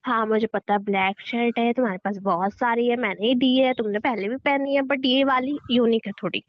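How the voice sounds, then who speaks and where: A woman's voice: a little high, fairly even intonation, brisk, dry recording, lightly acted. A young woman playing a bossy, teasing girlfriend in an acted phone-call drama, scolding sarcastically and mixing Hindi with English. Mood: insistent. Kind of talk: acted phone-call conversation between a couple.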